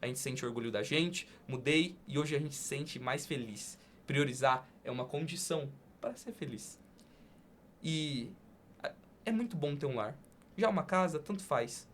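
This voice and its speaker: A man with a somewhat high-pitched voice hosts a podcast. His delivery is deliberate and conversational, leaning poetic, with emphatic pauses between phrases. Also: lively intonation, a little hiss or hum. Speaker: podcast host. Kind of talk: conversational hosting and spoken-word poem recital.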